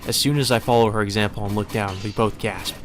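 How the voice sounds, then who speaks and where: A man's voice: fairly low pitch, fairly even intonation, quick pace. A man hosting a let's play, reading a visual novel aloud as narration and dropping in informal, casual remarks of his own. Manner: informal, swears in asides. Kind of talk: narrated read-through with casual side comments. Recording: music playing behind the voice. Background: background music.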